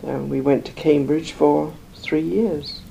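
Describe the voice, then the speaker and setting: An older woman from England, slowly recalling her life in an interview, rambling with many pauses and fillers. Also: low-pitched, steady tone, creaky, dark tone, a little hiss or hum, poor audio. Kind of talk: oral history recollection.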